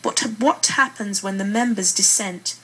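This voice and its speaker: A British woman speaks as an interviewed writer, earnest and measured in her delivery. Her voice sits at an average female pitch, with noticeable ambient noise around it. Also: pushed and bright. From Britain. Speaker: playwright and poet being interviewed. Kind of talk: earnest interview talk with read-aloud passages. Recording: clearly noisy room ambience.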